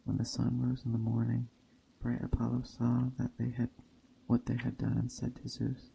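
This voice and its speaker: A man tells an old myth in a very monotone, measured way. His voice is dark and soft-edged with a gravelly creak. He sometimes trips on a word as he reads. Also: medium pitch, muffled recording, a little hiss or hum. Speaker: storyteller. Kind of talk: reading a Greek myth story aloud.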